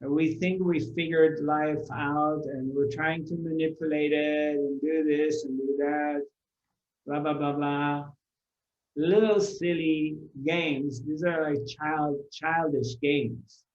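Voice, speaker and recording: A man giving a spiritual discourse to a live audience, unhurried, repeating his phrases and asking rhetorical questions. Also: on the high side, fairly even intonation. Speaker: spiritual teacher. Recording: roomy, dull lo-fi recording.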